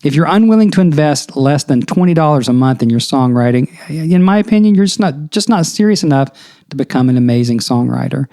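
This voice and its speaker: Earnest man coaching songwriters, mellow voice. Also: mid-range voice. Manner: earnest, exhorting, asks rhetorical questions. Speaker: podcast host and songwriting coach. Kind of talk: motivational podcast monologue.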